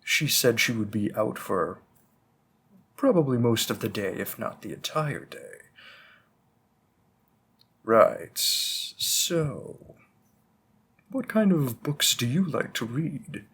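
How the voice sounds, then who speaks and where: Slow, hesitant old-fashioned bookseller played by a man. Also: medium pitch, animated, breathy edge, exaggerated voice. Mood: politely probing, then musing, coaxing. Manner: polite, hesitant, rambling, gently formal. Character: long-lived, bookish, old-fashioned male bookstore keeper, politely stalling. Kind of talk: scripted roleplay audio drama, addressing the listener.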